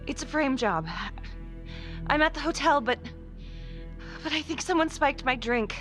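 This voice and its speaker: A woman's voice: high-pitched, measured pace, fairly bright tone, soft background music. An actress playing a tough but rattled female detective in a crime thriller game, urgent, blunt and naturalistic. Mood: frantic, pleading. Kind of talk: cinematic video game acting.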